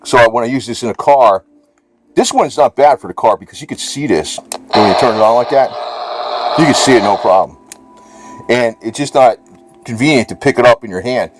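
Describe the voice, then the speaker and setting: An average-pitched man gives a casual, rambling review, as if for a home video. His talk is colloquial and slangy, loosely strung together, and it is recorded indoors. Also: fairly quick, long pauses, noisy background. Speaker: video creator.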